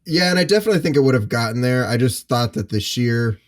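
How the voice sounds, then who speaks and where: Average pitched guy chatting on a panel, hesitant, honest, figuring it out as he goes. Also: expressive, quick pace. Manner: hesitant, candid, thinking aloud. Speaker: panel participant. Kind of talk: casual discussion.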